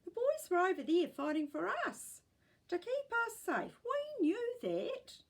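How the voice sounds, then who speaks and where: A woman's voice: extremely high pitch, very animated, unhurried, voice pushed up, heavily acted. A woman voicing a proud, wistful elderly great-grandmother who reminisces earnestly in a storybook. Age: older. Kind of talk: storybook character voice.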